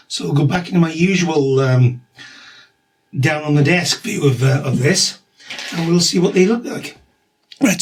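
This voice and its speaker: A middle-aged man with a husky voice and a slight cough, talking through his treatment in a rambling, matter-of-fact video diary. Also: fairly high pitch, low-quality recording. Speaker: vlogger documenting his cancer treatment.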